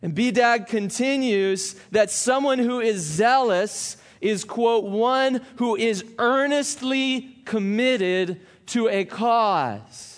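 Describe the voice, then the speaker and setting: A man preaching a sermon, reading scripture slowly and then talking in a conversational way with small asides to the congregation. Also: very high-pitched. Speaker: pastor.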